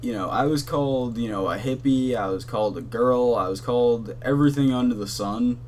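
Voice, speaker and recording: A young man with a monotone voice, rambling casually with lots of fillers and swearing as the host of a gaming channel, talking from his bedroom. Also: mid-range voice, fairly quick, slight background noise. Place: hot bedroom at his console.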